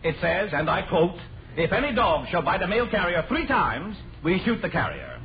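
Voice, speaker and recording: An actor playing a brisk, bossy postmaster barking out orders with dry officiousness in an old radio comedy. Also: male voice, sits high, sing-song, over a noisy crowd. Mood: brisk, commanding. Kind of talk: 1950s radio comedy acting. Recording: noisy, dull old radio recording.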